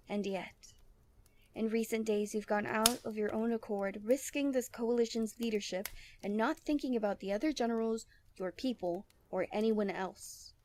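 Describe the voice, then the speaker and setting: A woman's voice: mid-range voice, darkish tone, slight background noise, close to an everyday voice. A woman playing a proud, outspoken male general in a fantasy audio roleplay, speaking formally with indignant challenge.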